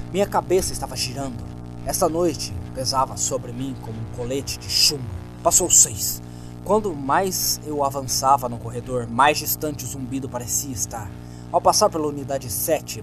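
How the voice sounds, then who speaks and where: Brazilian male horror narrator, mid-range voice, suspenseful and dramatic, clear music bed underneath. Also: medium pitch, poor audio. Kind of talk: dramatic scripted creepypasta narration.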